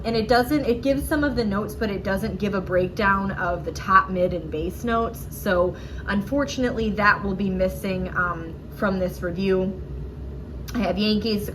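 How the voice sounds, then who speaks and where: A woman's voice: medium pitch, background noise. A woman reviewing candles at home in a chatty, rambling way, sounding a little nasal and sore-throated from a cold, with many "um"s. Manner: chatty, lots of "um"s, self-correcting. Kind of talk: casual product review vlog. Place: at home indoors.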